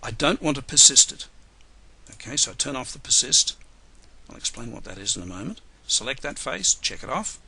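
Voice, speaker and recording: A man giving a live software tutorial webinar, hoarse and losing his voice, talking unhurriedly with many fillers and restarts. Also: mid-range voice, big pitch swings, brisk, poor audio. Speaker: software trainer.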